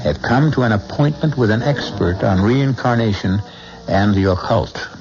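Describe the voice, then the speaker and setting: A man hosting and narrating a radio mystery drama in a measured, ominous way, from an old radio broadcast. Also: on the low side. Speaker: host and narrator. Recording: dull old radio broadcast with music behind.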